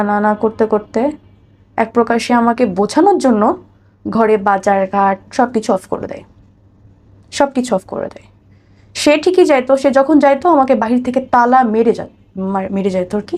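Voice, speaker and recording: A woman with a Bangladeshi accent and a slightly high-pitched voice tells her own life story. She speaks as an interview guest, often repeating a phrase and addressing the listener respectfully. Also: darkish tone. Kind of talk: personal testimony in an interview.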